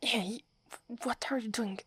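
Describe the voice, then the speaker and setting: A woman with an Italian accent voicing a proud, wary young werewolf girl in a soft, breathy whispered roleplay, haughty but stammering when flustered. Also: fairly high pitch, fairly even intonation, takes it slowly, full character voice. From Italy.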